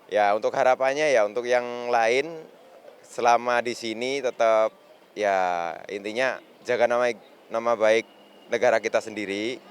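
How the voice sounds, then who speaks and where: A man who works in a factory, answering a street interview in a hesitant, halting way with many fillers. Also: medium pitch, fairly even intonation, a little hiss or hum. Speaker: factory worker.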